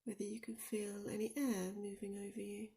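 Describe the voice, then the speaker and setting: A woman leading a guided meditation in a soft, soothing, often near-whispered voice, speaking deliberately with gentle pauses. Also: medium pitch, flat delivery, creaky. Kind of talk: guided body scan meditation.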